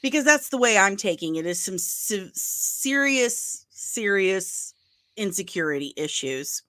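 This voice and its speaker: A woman's voice: medium pitch, lively intonation, measured pace, pushed and bright, no echo. Chatty nasal woman hosting a livestream. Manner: chatty, emphatic, with asides and laughs. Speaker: pop culture news host. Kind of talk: casual livestream chat.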